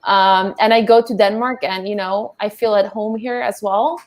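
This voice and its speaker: Young woman, from Poland, vlogger guest, middle pitch, reflective, "you know" fillers. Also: steady tone, normal speed, a little mellow. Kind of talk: casual interview chat.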